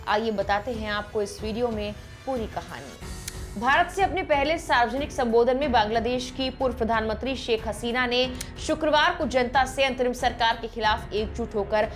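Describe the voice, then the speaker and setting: A woman presenting scripted news narration in a formal, emphatic manner. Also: on the high side. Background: background music.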